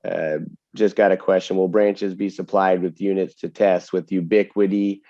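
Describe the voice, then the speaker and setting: A man's voice: deep voice, flat delivery, moderate pace, warm tone, no echo, lo-fi sound. A man explaining technical details in a casual webinar Q&A, often checking for agreement as he goes. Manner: explanatory, often seeks agreement with tag questions. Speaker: webinar host and distributor product expert.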